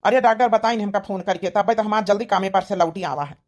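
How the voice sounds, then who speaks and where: A woman's voice: medium pitch, fast, a little mellow, natural voice. A woman with an Awadhi accent playing a humble village labourer and devoted husband in a cartoon comedy, earnest and pleading.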